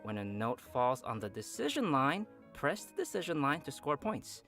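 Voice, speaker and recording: A man hosts a game update broadcast, reading the event rundown from a script. His pitch swings widely and he drops in playful asides. Faint music plays underneath. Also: sing-song, moderate pace, a bit bright. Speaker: program host.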